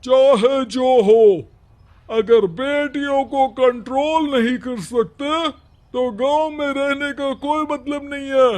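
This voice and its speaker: A man's voice: very high voice, a little mellow. A man playing a stern, domineering village headman in a cartoon moral story, with a commanding, scornful manner.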